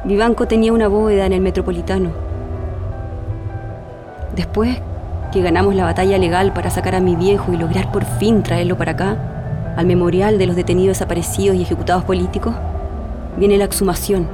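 Actress as a grieving Chilean daughter, music behind. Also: female voice, on the low side, level tone, brisk, pauses often, lightly acted. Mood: sorrowful, reflective. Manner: emotional, intimate storytelling. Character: grieving daughter of a disappeared man, giving testimony. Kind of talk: radio drama monologue and dramatised scene.

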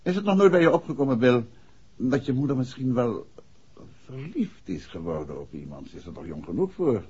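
A man playing a patient, middle-aged gentleman detective who questions in a measured, coaxing way in a 1960s radio play. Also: medium pitch, sing-song, breathy edge. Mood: gently suggestive. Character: probing, gentlemanly male detective, patient and suave. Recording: dull 1960s radio drama recording.

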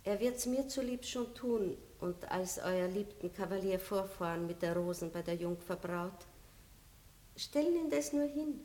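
An actress playing an aging noble princess in a radio play, melancholy and tender. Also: female voice, medium pitch, lively intonation, a little slow, mellow, a little hiss or hum, lightly acted. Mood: commanding. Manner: melancholy, drops to a whisper in reflection. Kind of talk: 1960s radio play acting.

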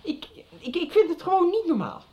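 A middle-aged mother in a radio soap, worried and disapproving. She is firm yet hesitant, with a swooping, soft-toned voice in a slightly dull recording. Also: extremely high pitch, very animated, brisk. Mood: worried, reproachful. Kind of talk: 1990s radio drama acting.

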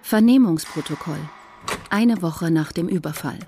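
An actress playing a detached announcer who reads out case records and scene titles in a clipped, factual way. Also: female voice, on the low side, normal speed, mellow, soft background music, lightly acted. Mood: matter-of-fact. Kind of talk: scripted radio drama scene announcements.

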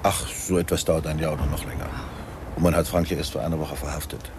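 A man's voice: extremely low pitch, flat delivery, fast, background noise. A man in a German radio drama playing a troubled middle-aged father of a criminal, speaking in a grave, probing, reproachful way. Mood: resigned.

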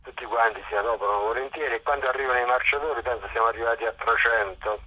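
A man's voice: mid-range voice, very fast, sharp tone, husky. A middle-aged man from Molise in Italy talking informally as an interview guest, hesitating and restating as he explains and tells his story. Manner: hesitant, self-correcting, chatty storytelling. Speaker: interview guest, general coordinator of a walking event. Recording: dull, telephone-like radio interview line.

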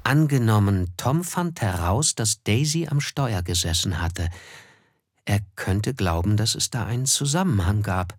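An actor playing a reflective, observant first-person narrator in a radio drama, measured, sober and quietly disillusioned. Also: male voice, fairly low pitch, animated, no echo, clean studio sound.

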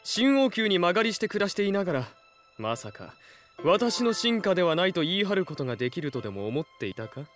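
A man's voice: sits high, big pitch swings, fairly quick, fairly bright tone, faint music behind. A man acting in a Japanese audio drama as a composed, formal young emperor who teases dryly and dotes on his wife. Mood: lofty.

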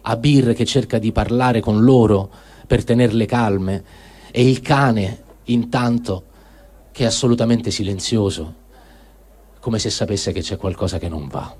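A man reads a first-person diary in speech, in measured, deliberate phrases, as a dramatic radio reading. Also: lowish voice, darkish tone, slightly rough. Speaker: reader of written testimony on radio.

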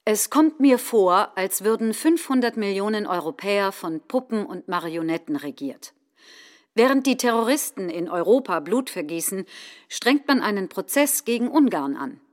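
Political theatre: actress as indignant populist parliamentarian, scornful, declamatory, mid-range voice. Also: female voice, mid-range voice, animated, normal speed, lightly acted. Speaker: stage performer. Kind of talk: staged reading of a political speech.

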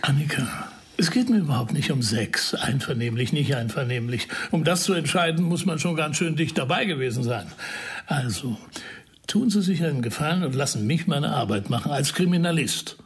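A man playing a shrewd, unflappable police detective in a radio crime drama, explaining things in a measured, wry way. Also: fairly high pitch, expressive, breathy edge. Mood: wry, composed. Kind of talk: naturalistic radio drama dialogue.

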